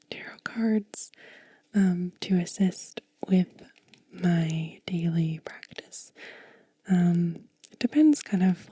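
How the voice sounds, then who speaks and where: Softly whispering, breathy woman, very muted tone. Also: mid-range voice, dry recording. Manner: gentle, unhurried, soothing. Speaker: ASMR video host. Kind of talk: soft-spoken whispered ASMR, show and tell.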